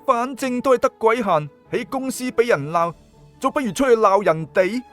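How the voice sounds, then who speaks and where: A woman's voice: on the high side, animated, moderate pace, faint music behind, natural voice. A woman voicing an eager young small-time gangster in a scheming, exclamatory way, as audiobook character acting. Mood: eager grumbling.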